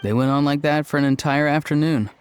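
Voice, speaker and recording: An actor playing an earnest, odd young tribesman in a video game, deadpan and matter-of-fact. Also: a little high, fairly quick, music in the background, natural voice.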